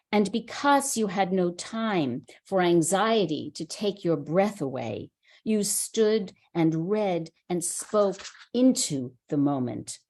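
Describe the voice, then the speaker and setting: Slow, measured woman reading poetry. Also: mid-range voice, a little mellow. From United States. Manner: measured, unhurried recitation. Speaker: poet. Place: reading at a writers center.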